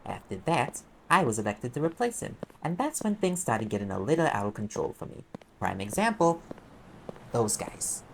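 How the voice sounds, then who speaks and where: A man's voice: mid-range voice, very animated, normal speed, vocal fry, a bit bright, slight background noise, low-quality recording. A man playing a sarcastic, streetwise demon private investigator in an audio roleplay, talking in an offhand, sardonic way. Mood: wry, brooding. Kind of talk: conversational audio roleplay acting.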